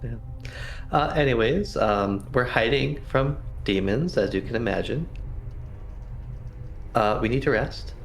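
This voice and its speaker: A man's voice: mid-range voice, unhurried, gravelly, pauses often. A man playing a tabletop roleplaying game, chatting casually in a wry, joking way.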